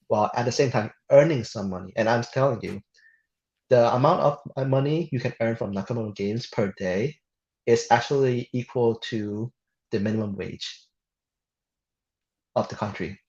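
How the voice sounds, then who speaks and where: A man's voice: on the low side, darkish tone. A man from Thailand speaking as an interview guest, earnest and often breaking off to restart words. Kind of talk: casual interview. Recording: roomy dull sound off the mic.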